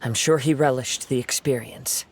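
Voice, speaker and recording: A man's voice: mid-range voice, moderate pace, slight background noise, lightly acted. A man playing a serene, courteous young wandering samurai in a video game. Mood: calm, reflective. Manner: courteous, composed.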